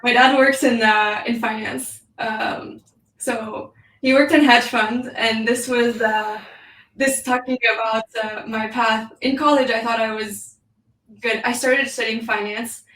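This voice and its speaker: A woman in her thirties with a French accent talks in a loose, chatty way, dropping "um" and "like" often. Her voice sits on the higher side. She is recorded a little away from the mic in a roomy, echoing space. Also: steady tone, a little slow, a bit bright, far from the mic. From New York City, United States. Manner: chatty, with frequent "um" and "like" fillers. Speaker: guest. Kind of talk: casual interview.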